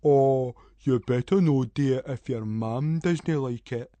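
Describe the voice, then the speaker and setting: A man's voice: mid-range voice, slow pace, warm tone, dry recording, lightly acted. A Scottish teenage boy bully from a storybook, acted out by a man. He taunts and goads in an exaggerated, mocking way. The sound is close and dry. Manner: exaggerated taunting, baby-talk mockery.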